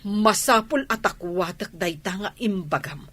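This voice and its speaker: A woman with a Filipino accent acting a stern, scheming character in a radio drama, her voice husky and breathy. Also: mid-range voice, measured pace, fairly bright tone. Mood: stern, threatening.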